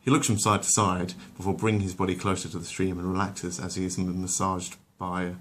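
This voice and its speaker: Storyteller, male, low register, wry mock-literary narration for comedic bedtime tales. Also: flat delivery, a little fast, a bit bright.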